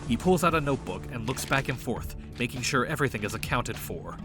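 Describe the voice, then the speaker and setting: A man's voice: medium pitch, animated, fast talker. A man reading scripted story narration for an audio drama, with music playing underneath.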